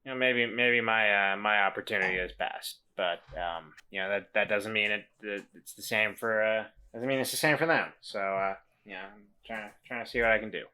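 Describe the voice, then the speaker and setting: Man playing hesitant, world-weary man, bright-edged voice. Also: on the low side, clean tone. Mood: downcast, wistful. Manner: halting, stammering, self-doubting. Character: Walter, hesitant, world-weary man helping lost children.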